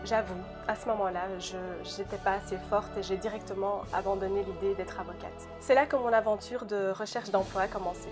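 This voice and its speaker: A woman's voice: mid-range voice, fairly even intonation, brisk, few pauses. A young woman from Belgium whose first language is Dutch, giving an earnest, scripted personal testimony and speaking directly to the listener. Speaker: law graduate giving a testimony. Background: music.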